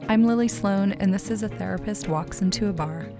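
A woman's voice: medium pitch, fairly quick. A woman hosting a podcast, reading scripted narration. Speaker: podcast host. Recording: music under the voice. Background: music.

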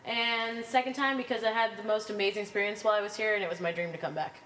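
A woman in her thirties answering rapid questions in a casual group chat, giggly and chatty. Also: mid-range voice, fairly even intonation, a bit bright. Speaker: interviewee, chemistry faculty. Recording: echoey room, faint background hum.

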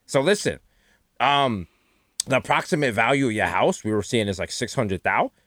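Animated man in crude comedy banter. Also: mid-range voice, moderate pace, dry recording, clean studio sound. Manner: animated, jumps into mock voices, swings loud and soft. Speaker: podcast co-host.

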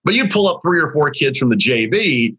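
A man talks high school basketball as a show host, rambling conversationally through restarts and fillers. His voice is forceful yet husky and a little raspy from overuse. The audio is dull and lo-fi. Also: big pitch swings, fairly quick. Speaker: sports broadcaster hosting a high school basketball talk segment. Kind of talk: casual sports talk.

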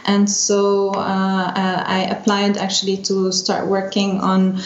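A middle-aged woman from Lebanon giving unscripted interview answers, pausing often as she searches for words. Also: mid-range voice, monotone. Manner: hesitant, searching for words with frequent pauses. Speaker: interview guest, energy division chief. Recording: dull lo-fi roomy sound, faint noise.

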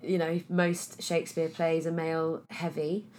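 A woman in her thirties from Wales, an actress chatting casually in an interview, her voice a bit husky and hoarse today, with many fillers and restarts. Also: low voice, completely flat delivery, a little slow, a little mellow, slight background noise. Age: 30s.

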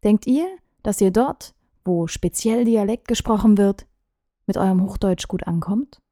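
A woman speech coach from Thuringia in Germany, speaking to her viewers in a clear, measured, trained delivery and often asking them questions. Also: medium pitch, warm tone, no echo, clean studio sound. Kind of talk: scripted talk to camera.

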